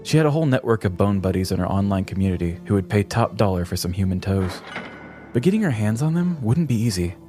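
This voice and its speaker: A man narrating a scripted true-crime comedy podcast in a theatrical, deliberate storytelling manner. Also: on the low side, lively intonation, over music.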